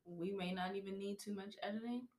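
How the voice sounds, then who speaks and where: A woman's voice: mid-range voice, fairly even intonation, brisk, darkish tone. A woman from Los Angeles co-hosting a podcast with friends, laid-back and slangy, swearing casually. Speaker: podcast co-host. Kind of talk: casual chat among friends.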